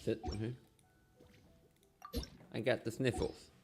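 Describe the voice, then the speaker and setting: Streamer with a cold, low-voiced, coughs and sniffles, mumbled casual asides, quiet background music. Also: male voice, little pitch movement, a little slow. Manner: mumbly, half to himself, sing-song repeats. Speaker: game streamer. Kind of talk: casual gameplay stream, reading game text aloud.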